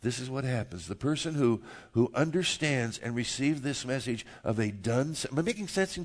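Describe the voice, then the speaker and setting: A man's voice: medium pitch, animated, normal speed. Emphatic male pastor preaching a sermon. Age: middle-aged or older. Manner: emphatic, rhetorical questions and repeated phrases. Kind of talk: sermon to a congregation.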